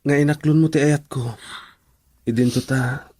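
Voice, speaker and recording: Young male suitor played by an actor, humble, gentle, attentive, average pitch, radio drama acting. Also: fairly even intonation, normal speed, slightly rough, no echo, studio quality, close to an everyday voice. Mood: tender, humble.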